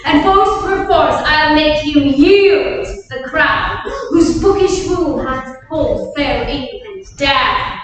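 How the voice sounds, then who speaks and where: A defiant duke vowing to seize the throne, read by a woman in a high, slow, resolute voice. The sound comes from far off in a large hall with long reverb. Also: crowd noise. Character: defiant ambitious duke claiming the crown. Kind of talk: staged Shakespeare reading.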